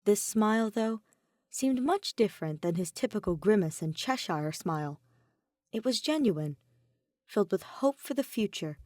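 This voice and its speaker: Animated woman narrator, dry sound. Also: mid-range voice, moderate pace, no echo. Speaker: podfic narrator. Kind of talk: scripted fan fiction narration.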